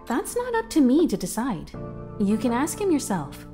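A kindly, patient woman mentor in a video game. Her highish voice is composed yet very expressive in its rise and fall. Game music runs underneath. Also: moderate pace, exaggerated voice. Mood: calm, reassuring. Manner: composed, gently reasoning. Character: poised, patient, kindly adult female mentor. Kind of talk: video game character dialogue.

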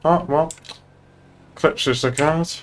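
A man's voice: on the high side, steady tone, slow pace, slight background noise. A young man chatting casually while live streaming a police roleplay game. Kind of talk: casual gaming chat.

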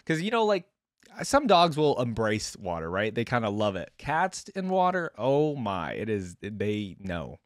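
A young man giving casual reaction commentary, reading aloud and musing with expressive exclamations into a close desk microphone. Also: on the low side, measured pace, dry recording. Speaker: reaction video host. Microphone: close desktop microphone.

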